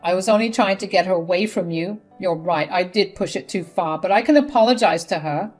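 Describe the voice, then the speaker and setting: A woman's voice: fairly low pitch, fairly quick, natural voice. A woman voicing a desperate middle-aged mother-in-law who pleads and whines, over faint music. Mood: defensive.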